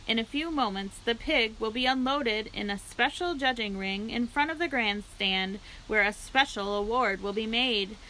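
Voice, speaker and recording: Pompous booming fair announcer, read by a woman, bright and fairly high. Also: moderate pace, hardly any pauses, a little hiss or hum, low-quality recording. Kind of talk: reading a children's book aloud.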